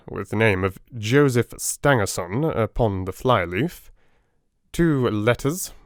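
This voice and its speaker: A man playing a stolid Victorian police inspector in an audiobook, deliberate and matter-of-fact as he reports. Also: on the low side, no room sound, clean studio sound.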